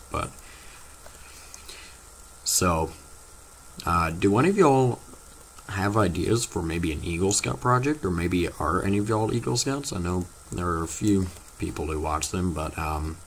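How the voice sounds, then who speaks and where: A teenage boy, slightly hoarse from losing his voice, chatting casually to his viewers in a rambling, halting way full of fillers. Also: low-pitched, a little hiss or hum. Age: teens. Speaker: vlogger. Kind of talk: casual vlog chat to viewers.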